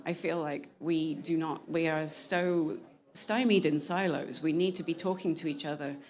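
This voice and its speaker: A woman's voice: low voice, darkish tone. A woman speaking earnestly on a conference panel, often restarting her sentences. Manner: earnest, with frequent restarts and self-corrections. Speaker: panel speaker, climate scientist and engineer.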